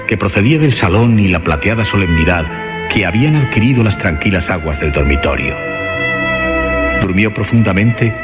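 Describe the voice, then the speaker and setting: An actor narrating an eerie suspense radio play in a solemn, deliberate, dramatic manner with a Castilian Spanish accent. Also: male voice, lowish voice, lively intonation, close to an everyday voice. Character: grave storyteller of an eerie tale. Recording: dull radio sound under loud music.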